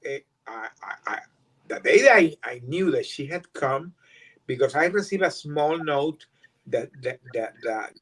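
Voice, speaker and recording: Halting older man with a Venezuelan accent. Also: fairly high pitch, animated, a bit bright, breathy edge. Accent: Venezuelan Spanish. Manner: halting, many repeated words and restarts. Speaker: guest. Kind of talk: interview answers.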